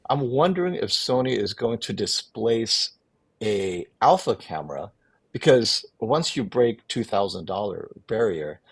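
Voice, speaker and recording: Husky low-voiced man musing on cameras. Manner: rambling, restarts sentences. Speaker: panel guest. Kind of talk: casual opinion talk.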